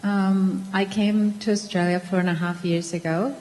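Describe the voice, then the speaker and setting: A woman from Peru, introducing herself on a panel in a measured way. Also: mid-range voice, steady tone, darkish tone. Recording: reverberant hall with faint noise.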